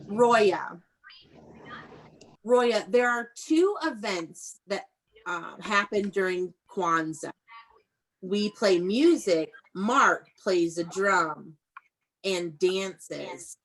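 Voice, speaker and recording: A woman's voice: mid-range voice, extremely slow, poor audio. A woman teaching young children in an online read-aloud class, prompting them with questions and encouragement in an instructive way.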